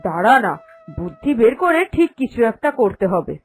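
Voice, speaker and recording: A woman's voice: on the high side, very animated, fairly quick, breathy edge, soft background music, full character voice. A woman playing a grumbling, scheming daughter-in-law in a cartoon, with a whining, complaining delivery. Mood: resentful, scheming. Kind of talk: animated cartoon dialogue.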